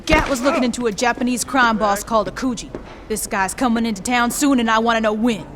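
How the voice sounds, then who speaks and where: A woman's voice: on the high side, fast, fairly bright tone, natural voice. A woman as a curt, foul-mouthed gang boss. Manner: blunt, curt and threatening. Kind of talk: video game cutscene acting.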